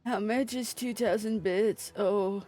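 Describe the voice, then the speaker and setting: A woman's voice: mid-range voice, fairly even intonation, slow, dark tone. A woman livestreaming a game, chatting irreverently with teasing and swearing. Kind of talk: livestream chat and reading game dialogue aloud. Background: game music.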